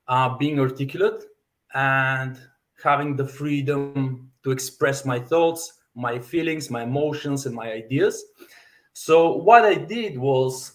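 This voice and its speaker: Male guest, 30s, from Bulgaria, Bulgarian native speaker, mid pitch, slow and deliberate. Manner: deliberate, many fillers and self-repeats. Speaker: fitness coach, podcast guest. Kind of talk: personal story in podcast interview.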